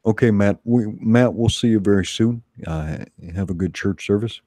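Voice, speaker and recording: A man's voice: on the low side, moderate pace, dark tone, no room sound, clean studio sound. A man co-hosting a livestream with casual, joking banter. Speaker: livestream co-host.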